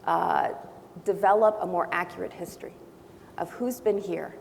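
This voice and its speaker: A woman from Brazil and Honduras whose first language is not English, speaking as a panelist with thoughtful pauses and restarts. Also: fairly low pitch, steady tone, vocal fry. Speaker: panelist, faculty member. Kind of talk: panel discussion. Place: large auditorium. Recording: long hall reverb, faint noise.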